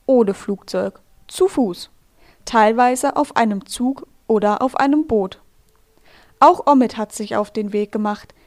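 A woman hosting a radio feature from a studio, telling the story in calm, measured, scripted narration. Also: on the high side. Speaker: radio host.

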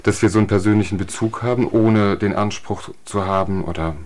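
A man with a fairly low voice speaks as a guest in a radio interview. He explains speech practice in a measured, teacherly manner and reaches for everyday examples. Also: fairly even intonation, a little mellow. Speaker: speech trainer at a university.

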